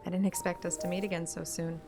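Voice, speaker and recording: A woman's voice: on the low side, little pitch movement, quick pace, close to an everyday voice. Woman as plucky young huntress from a game, earnest and hesitant, music underneath. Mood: earnest, curious. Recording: loud music behind.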